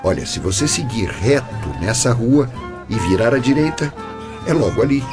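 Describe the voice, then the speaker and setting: A man's voice: medium pitch, animated, normal speed, music in the background, natural voice. An actor with a Brazilian accent playing a composed, slyly courteous, mysterious businessman in a radio drama.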